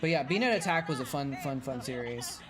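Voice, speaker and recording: A man's voice: medium pitch, animated, brisk, crowd noise. A man streaming and chatting casually as a former game caster, rambling and correcting himself as he answers viewers, his voice tired after hours of talking. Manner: rambling, self-correcting, reads and answers chat aloud. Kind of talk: casual live-stream chat while watching old matches.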